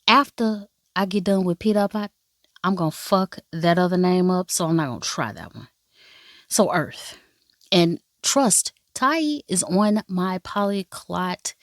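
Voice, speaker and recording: A woman's voice: fairly low pitch, no room sound, clean studio sound. Chatty, swearing woman drama vlogger. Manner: chatty, emphatic and playful, swears freely; talks straight to viewers, calling them baby and y'all. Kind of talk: casual first-impressions review.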